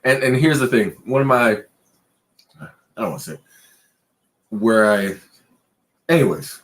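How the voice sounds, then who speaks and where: A man co-hosting a casual late-night chat show, talking in a loose, rambling way and pushing his pitch up and down for emphasis. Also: expressive, measured pace, a bit bright. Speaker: podcast co-host.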